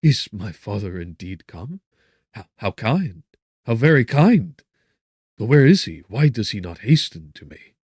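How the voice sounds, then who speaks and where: Man acting an anguished young man, voice lifted high. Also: big pitch swings, measured pace, darkish tone, husky, dry recording, studio quality, heavily acted. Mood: overjoyed, eager. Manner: melodramatic, exclamatory. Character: anguished young man, feverish, despairing prisoner. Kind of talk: dramatic audiobook character acting.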